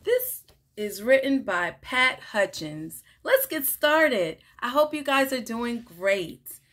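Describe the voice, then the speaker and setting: A woman's voice: on the high side, very animated, takes it slowly, fairly bright tone. A woman in her forties reading a picture book aloud expressively to young listeners. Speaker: children's storytime reader. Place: small room at home, indoors.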